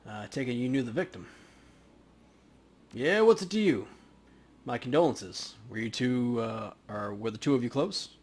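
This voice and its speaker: A man's voice: medium pitch, a little fast, few pauses, darkish tone. A man hosting a let's play, reading game text aloud and commenting casually.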